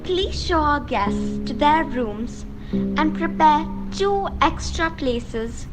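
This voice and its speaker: A woman's voice: high-pitched, lively intonation, slow pace, low-quality recording. A woman playing a vain, gracious vampire countess with theatrical airs in a radio play. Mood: sweetly sinister. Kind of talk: amateur radio play acting. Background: music.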